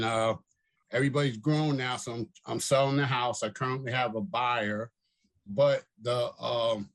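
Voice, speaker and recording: An older man on a video call explains his application to a zoning board in a plain, halting way, with pauses and fillers. His voice sits in an ordinary middle pitch. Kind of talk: testimony at a public meeting.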